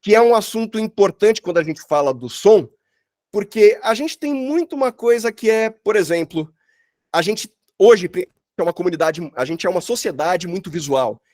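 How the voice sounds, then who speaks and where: A man's voice: sits high, animated, moderate pace, darkish tone, dry recording, clean studio sound. A man teaching an online workshop in an informal, chatty way full of slang and filler tags. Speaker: workshop instructor, sound designer. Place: online video call.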